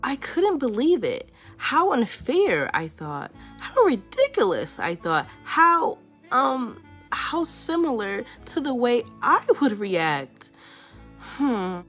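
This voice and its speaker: A woman reading a children's picture book aloud in a playful, expressive storytelling way. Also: a little high, takes it slowly, faint music behind.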